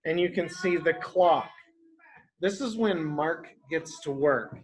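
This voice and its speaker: A male early education teacher reads a picture book aloud to young children. He goes very slowly, explaining words in simple phrases. He often turns to the children with questions. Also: fairly high pitch, a crowd in the background.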